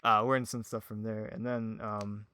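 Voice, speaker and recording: A man chatting casually as a podcast guest, with a halting, rambling, self-effacing way of talking. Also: lowish voice, flat delivery, pushed and bright, clear, resonant tone, dry recording, studio quality. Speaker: podcast guest, audio drama creator. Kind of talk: casual chat.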